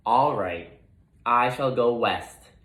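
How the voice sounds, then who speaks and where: A man's voice: medium pitch, takes it slowly, a bit bright, reverberant. A man voicing a bossy, dim-witted female capybara bully in a children's storybook, blustering and emphatic. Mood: huffy, smug. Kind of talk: children's storybook read-aloud.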